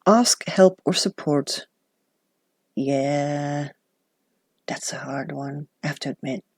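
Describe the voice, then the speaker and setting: A woman's voice: low voice, slow pace, darkish tone, no room sound. Chatty woman, dry close sound. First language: Dutch. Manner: chatty and reflective, with self-deprecating asides. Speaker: journaling vlogger. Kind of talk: casual chat.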